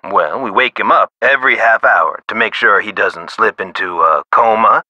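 Game casting: chatty eccentric male nurse, low forceful voice, whimsical swooping emphasis. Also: dry recording, full character voice, low-quality recording. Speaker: nurse character in an adventure game. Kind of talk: video game character dialogue, explanatory.

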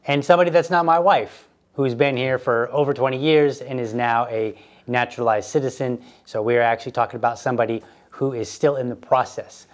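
A man's voice: mid-range voice, fairly even intonation, a little fast. A middle-aged man hosts a podcast interview. He speaks conversationally into a lapel mic in an indoor room, putting questions to his guest. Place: indoor room with a fireplace, seated.

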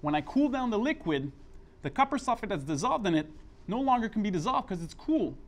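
A man's voice: high-pitched, very animated, a little fast. A middle-aged man hosting a casual, explanatory science demonstration in an indoor lab, speaking informally as he works. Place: indoor school chemistry lab.

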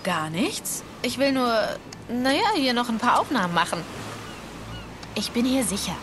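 An actress playing a curious, skeptical young adventurer with a lively, teasing manner in a German video game dub. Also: female voice, on the high side, normal speed, background noise. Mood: playful, evasive.

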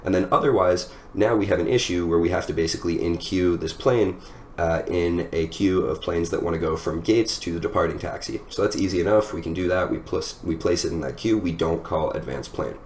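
A man's voice: sits low, brisk, darkish tone. A young man in his twenties giving a casual technical explanation as a teacher, recorded in a room at home. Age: 20s. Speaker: software engineer teaching a design lesson. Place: small room at home, indoors. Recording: echoey room with background noise.

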